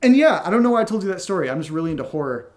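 A man's voice: sits high, sing-song, rapid-fire, noisy background. A man co-hosting a casual question-and-answer chat, telling stories in a playful, self-deprecating way. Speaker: podcast co-host and audio drama writer.

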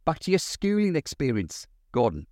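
A man hosting an interview podcast, speaking in formal, measured phrases. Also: on the high side, huge pitch swings, a little mellow, dry recording, studio quality. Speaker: podcast host, university lecturer. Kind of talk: scripted introduction and interview questions.

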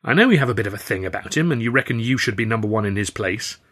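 A man's voice: lowish voice, lively intonation, racing, fairly bright tone. A man voicing a brooding, resentful young comedian, sardonic and insistent, in an audiobook. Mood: bitter, insistent.

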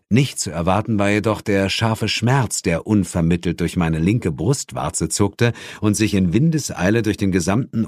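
Low-voiced man narrating a wry audiobook. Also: a little mellow, no room sound. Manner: wry, dry irony. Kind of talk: dramatic first-person audiobook narration.

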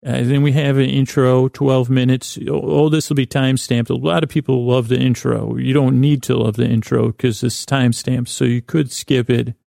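A man hosting a bedtime podcast, giving a meandering, halting, self-deprecating monologue that keeps trailing off mid-thought. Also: mid-range voice, little pitch movement, vocal fry, dark tone, no echo, clean studio sound. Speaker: podcast host.

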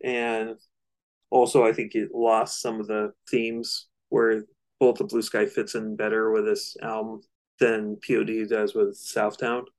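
A man co-hosting a casual discussion of music, speaking in hesitant, halting phrases. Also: on the low side, completely flat delivery, low-quality recording. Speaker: music discussion podcast co-host.

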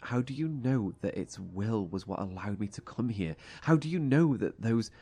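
A man's voice: lowish voice, lively intonation, normal speed, mellow, breathy edge. A man playing a fiery, fed-up survivor in a horror audio drama, blunt, confrontational and sarcastic. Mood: skeptical.

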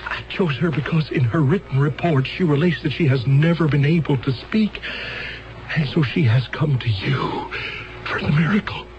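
Male radio drama aide, acted, earnest, reverent, deliberate phrasing, muffled vintage broadcast with music. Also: on the high side, lightly acted. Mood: earnest, admiring. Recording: dull old radio, music behind.

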